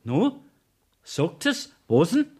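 A nosy court clerk in a Bavarian dialect radio play. The voice sits high and moves in lively leaps, drawing out questions with sly, needling mock concern. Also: male voice, husky, exaggerated voice. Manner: probing, insinuating, drawn-out.

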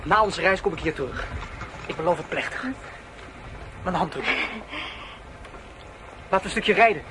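A tender young horseman in a radio drama, played by a man, speaks softly and intimately in a fairly high male voice. Clear background noise surrounds him. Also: expressive, moderate pace, close to an everyday voice. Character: young male horseman, tender, courting, mind-reading traveller. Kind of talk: Dutch radio drama acting.

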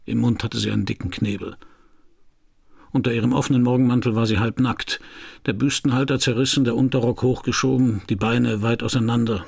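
An actor playing a cool, laconic Paris private detective in a German radio crime drama. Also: male voice, mid-range voice, fairly even intonation, fairly quick, gravelly, darkish tone, husky. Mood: grim. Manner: laconic, dry. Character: cool, hard-boiled male Paris private detective narrating his case. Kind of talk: German radio crime drama, first-person narration and dialogue.

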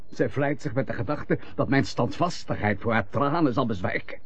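Husky, bitter betrayed husband, acted by a man. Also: mid-range voice, moderate pace, close to an everyday voice. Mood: grim resolve. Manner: formal, bitter, theatrical. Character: bitter, proud, wounded betrayed husband, stubbornly unforgiving. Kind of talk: classic European radio drama acting. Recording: dull lo-fi old radio recording.

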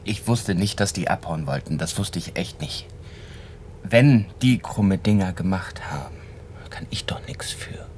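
An actor playing a disillusioned small-town car mechanic and ex-convict, talking in a halting, colloquial, ruminative way in a radio drama. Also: male voice, sits low, lively intonation, a little mellow, whispered. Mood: defensive. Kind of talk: first-person radio drama monologue.

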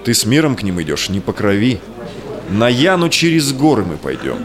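An actor playing an authoritative Cossack expedition chief, declaiming rhymed verse in an audio drama. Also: male voice, fairly low pitch, sing-song, moderate pace, a crowd in the background. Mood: purposeful.

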